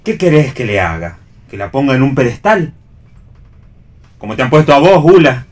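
A man's voice: medium pitch, very animated, normal speed, lightly acted. An Argentine actor playing a sardonic, prickly male friend in a radio play reading. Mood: sarcastic.

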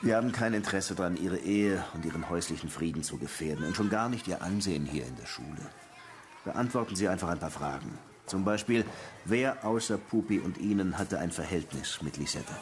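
Radio crime drama: sardonic detective interrogator, lowish voice, stern, faint ambient noise behind. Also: male voice, lively intonation, fairly quick. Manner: dry, insistent, pressing. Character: sardonic, insistent male Havana police lieutenant interrogating suspects.

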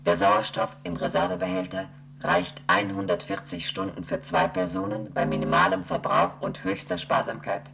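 A woman voicing a station computer that reads out emergency rules in a flat, mechanical monotone. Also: extremely low pitch, moderate pace, gravelly. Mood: dictating. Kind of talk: 1970s radio play acting.

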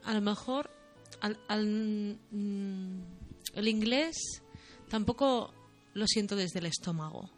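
A woman singer being interviewed on the radio, talking thoughtfully and softly about her music, often pausing to search for words. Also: medium pitch.

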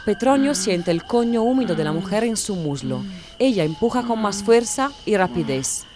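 A woman narrating an audiobook in a calm, measured manner. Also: mid-range voice, background noise. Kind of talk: audiobook reading.

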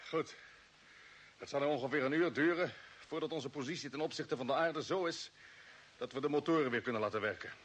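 An actor playing a decisive spaceship captain in a radio drama, giving orders with brisk authority. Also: male voice, mid-range voice, a bit bright, lightly acted. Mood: businesslike.

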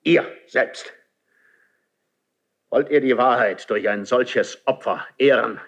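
A man's voice: medium pitch, slow pace, muffled recording. A man acting a proud, suspicious, lonely king in a 1970s German radio play, with commanding classical declamation. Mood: wrathful, scornful.

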